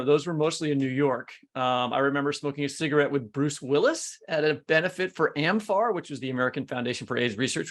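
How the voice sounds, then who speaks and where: A man's voice: medium pitch, creaky. A man co-hosting a podcast in a conversational, chatty style. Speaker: podcast host.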